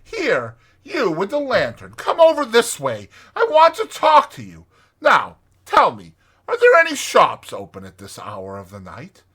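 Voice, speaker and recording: Male narrator as storybook rat, commanding, raised pitch, forceful, brisk and decisive. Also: wildly swooping pitch, breathy edge, heavily acted. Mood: brisk, urgent. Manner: commanding, decisive, issuing orders. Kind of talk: dramatized children's storybook reading.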